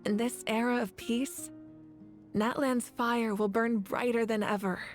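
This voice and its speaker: An actress playing a solemn, resolute woman who leads a nation, speaking in measured, earnest and formal lines with tender grief. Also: on the high side, fairly even intonation, close to an everyday voice. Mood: tender, reflective. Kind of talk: dramatic video game voice acting. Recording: orchestral game music behind.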